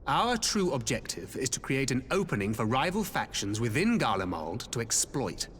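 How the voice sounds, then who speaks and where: A man playing a composed, articulate strategist in a fantasy video game, speaking formally and with measured phrasing. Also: medium pitch, very animated, fairly bright tone. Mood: composed, calculating. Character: composed male strategist laying out covert war plans. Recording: long hall reverb, faint background noise.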